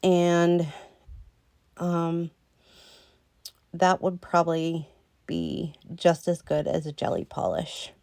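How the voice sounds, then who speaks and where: A woman talking through a nail tutorial in a slow, rambling way with lots of ums, a little hoarse as she loses her voice. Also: fairly low pitch, darkish tone, no echo, clean studio sound. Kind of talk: casual voice-over tutorial.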